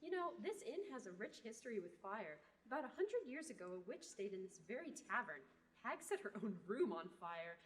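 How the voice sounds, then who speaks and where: A woman's voice: fairly high pitch, big pitch swings, fairly quick. A young woman playing a chatty, storytelling tavern keeper in an amateur fantasy radio play. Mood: musing, chatty. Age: young adult.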